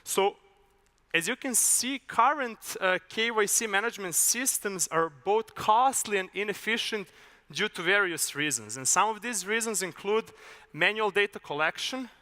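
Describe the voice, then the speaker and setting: A young man from Serbia, giving a measured, explanatory conference talk with a few filler uhs. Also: sits high, sharp tone. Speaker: conference speaker. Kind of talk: conference presentation. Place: large conference hall. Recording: reverberant hall.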